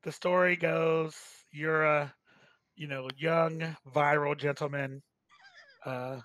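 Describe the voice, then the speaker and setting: A man hosting a staged reading, talking casually and haltingly. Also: a little high, steady tone, fairly bright tone, dry recording. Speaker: host of a staged game reading. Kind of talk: casual commentary and introductions.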